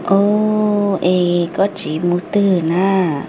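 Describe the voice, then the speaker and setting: A woman acts out a female character in a cartoon family drama. The dialogue is spoken at a middle pitch, over faint background noise. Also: fairly even intonation, drawn-out words. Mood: conversational.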